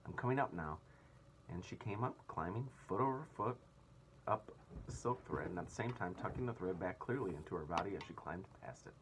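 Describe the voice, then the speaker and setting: A man's voice: deep voice, expressive, a little fast, slight background noise, poor audio. A man reading a children's story aloud as narrator, expressively.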